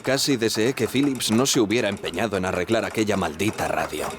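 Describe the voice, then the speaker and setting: A man's voice: lowish voice, brisk, background noise, lightly acted. A man playing a young Titanic radio operator who recounts his ordeal in a radio drama. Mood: reflective. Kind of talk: audio drama narration and dialogue.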